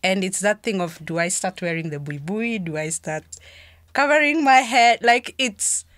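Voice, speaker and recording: A woman's voice: mid-range voice, lively intonation, moderate pace, a bit bright, no room sound. A young adult woman hosting a podcast, chatty and giggly, often restarting her sentences. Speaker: podcast host. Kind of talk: casual chat.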